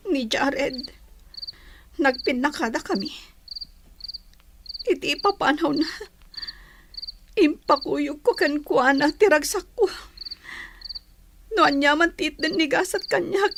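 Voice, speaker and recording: A woman's voice: high voice, slow, gravelly, pauses often, background noise, exaggerated voice. A woman with an Ilocano accent acting a dutiful, homesick young bride in a comedy drama. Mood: sad, pleading.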